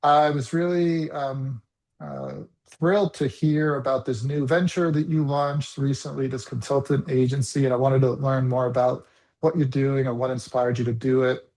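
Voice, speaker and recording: A man's voice: medium pitch, fairly even intonation, normal speed, darkish tone. A man hosting a conversational interview, friendly and searching for his words with many fillers. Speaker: interview host.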